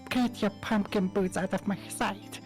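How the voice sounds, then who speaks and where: A woman's voice: medium pitch, fairly even intonation, measured pace, a little mellow. A woman joking casually with friends during a game stream. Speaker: participant in a group game stream. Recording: music behind the voice.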